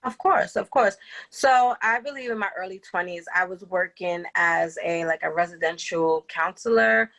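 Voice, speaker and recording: A young woman from the Bronx with a Bronx New York accent, speaking as a podcast guest and empowerment coach, earnest and pausing often with "um". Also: fairly low pitch, vocal fry, a bit bright, rough recording. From the Bronx, New York City. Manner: earnest, many "um" pauses, laughs easily. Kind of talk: casual interview talk.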